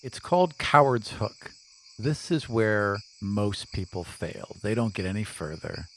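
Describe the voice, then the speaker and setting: A man's voice: lowish voice, expressive, measured pace, a bit bright, low-quality recording. A man narrating a tabletop roleplaying game as game master in a casual, descriptive way. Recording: faint insect noise behind the voice.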